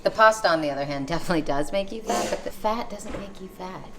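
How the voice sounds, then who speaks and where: A woman's voice: medium pitch, lively intonation, fast talker. A woman in her thirties with a chatty, confident manner, talking casually with playful asides while she cooks at home. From Canada. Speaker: vlogger. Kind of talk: casual chat while cooking. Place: home kitchen. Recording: echoey kitchen, faint noise.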